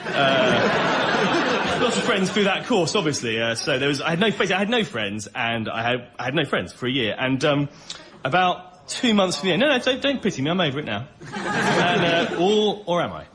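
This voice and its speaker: A man's voice: a little high, big pitch swings, normal speed, over a noisy crowd. A man trading banter and self-deprecating, mock-pitiful comic stories as a panel guest. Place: stage before a studio audience. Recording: live show with faint background noise.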